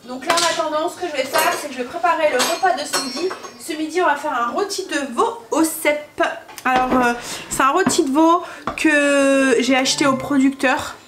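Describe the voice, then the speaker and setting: High-voiced woman with a stuffy cold. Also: normal speed, background noise. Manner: chatty, hesitant with many fillers. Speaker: family vlogger. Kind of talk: casual cooking vlog chat. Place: home kitchen.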